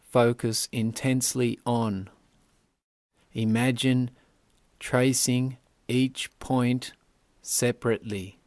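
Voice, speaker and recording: Slow-paced man guiding a relaxation. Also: medium pitch, darkish tone, no room sound. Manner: measured, deliberate, short instructional phrases. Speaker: yoga nidra instructor. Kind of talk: guided relaxation meditation instruction.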